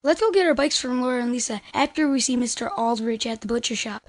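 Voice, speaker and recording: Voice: medium pitch, fast, no room sound, clean studio sound, close to an everyday voice. A young performer playing an eager, earnest ten-year-old boy in an amateur audio drama. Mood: curious.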